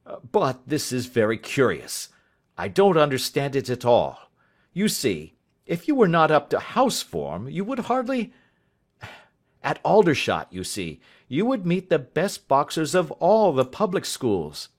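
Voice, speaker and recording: A man reading aloud, voicing an excitable adult schoolmaster who asks question after question. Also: a little high, unhurried, voice pushed up, exaggerated voice. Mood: eager. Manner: fussy, exclaiming, questioning.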